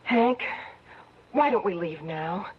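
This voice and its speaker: A woman's voice: lowish voice, expressive, takes it slowly, crowd noise. An actress playing a frightened, anxious young woman on the run in an old-time radio drama, pleading in manner. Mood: uneasy. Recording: dull, noisy old radio recording.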